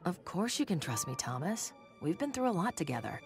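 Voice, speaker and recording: Dry cool-headed lady investigator in a game, lowish voice, music behind her. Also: big pitch swings, fairly quick. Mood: earnest. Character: wry, probing, cool-headed female colleague of an investigator. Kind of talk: dramatic video game acting.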